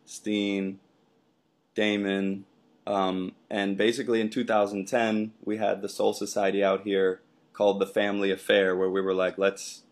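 A man with a low, fairly flat voice interviews a fellow dancer. He talks in a laid-back, casual way, filling his sentences with "like" and "you know". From Washington DC area. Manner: laid-back, filler-heavy.

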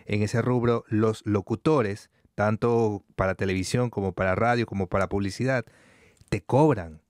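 A man's voice: on the low side, clear, resonant tone, no echo, clean studio sound. A man from Ecuador hosting a podcast interview, chatty, with frequent affirming interjections. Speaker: podcast host.

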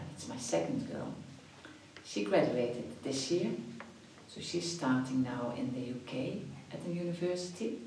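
A woman's voice: low voice, heavy reverb, recorded from a distance, slight background noise, low-quality recording. A Dutch woman in her fifties, chatty, answering interview questions and often restarting her phrases. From Netherlands. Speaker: after-school activities coordinator.

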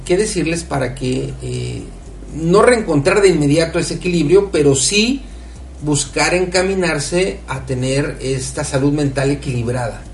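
A man's voice: a little high, measured pace, vocal fry, soft background music. Guy co-hosting a radio show, long rambly questions, echoey room, kinda far from the mic. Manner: long winding questions with many fillers. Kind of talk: radio interview.

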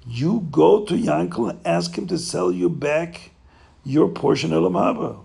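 A stern, insistent wife who scolds her husband and won't back down, as a male storyteller puts her on. The gravelly voice stays loud and forceful, with pitch that rises and falls widely. A folk storybook figure. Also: big pitch swings, moderate pace, full character voice. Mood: angry, insistent. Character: angry innkeeper's wife, adult woman, stern and insistent.

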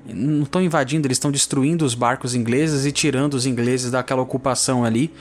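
Mid-pitched Brazilian man reviewing films casually. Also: fairly even intonation, fast. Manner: colloquial, conversational, descriptive. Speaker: film podcast host. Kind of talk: casual film review discussion.

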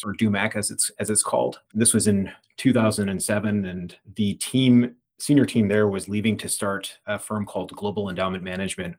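A man in his thirties from the Philadelphia area, a fund manager talking as a podcast guest in a relaxed, conversational way that often restarts phrases. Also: low voice, gravelly, husky. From United States (Philadelphia area). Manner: conversational, frequent restarts and repeated words. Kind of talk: podcast interview.

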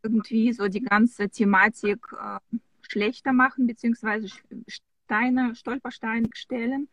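A woman's voice: a little high, steady tone, measured pace, a bit bright, no echo, lo-fi sound. Interviewer: woman, hesitant, restarts often, close and dry sound. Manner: hesitant, searching for words with restarts. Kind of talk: casual interview over video call.

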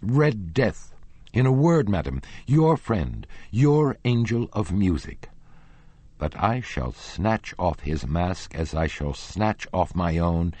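A man's voice: very animated, normal speed, a little mellow, slightly rough, no room sound, full character voice. A man voicing Raoul, a raging young nobleman. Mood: furious. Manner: heated, accusing, pitch rising in outbursts. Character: jealous young nobleman in a furious rage. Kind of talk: audiobook character reading.

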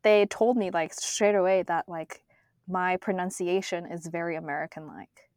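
A woman's voice: lowish voice, steady tone, normal speed, a little mellow, no echo, clean studio sound. A young woman from Korea co-hosting a podcast in casual chat, talking with many fillers and frequent laughs. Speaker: podcast co-host.

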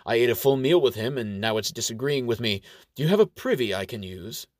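A man's voice: mid-range voice, lively intonation, fairly quick, dry recording, clean studio sound, lightly acted. A man voicing a jovial, irreverent clergyman who schemes and talks in a folksy, wry way in an audio story. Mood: apologetic.

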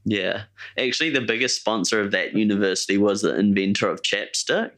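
A young man with a New Zealand accent talks in a fairly low, somewhat monotone voice. His delivery is laid-back and rambling, with plenty of "like" and "eh" as he chats on a podcast. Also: brisk. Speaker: podcast co-host. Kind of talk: casual chat.

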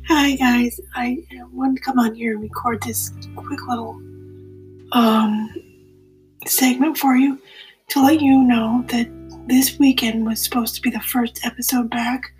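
Woman podcast host, sick, apologetic and halting, faint music bed. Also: fairly high pitch, fairly even intonation, a little mellow, low-quality recording. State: sick, fighting a virus. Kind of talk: casual update to listeners.

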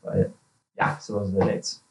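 A young man in his twenties talking casually as an interview guest, chatty and quick to laugh. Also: a little mellow, low-quality recording. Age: 20s. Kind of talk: casual conversation.

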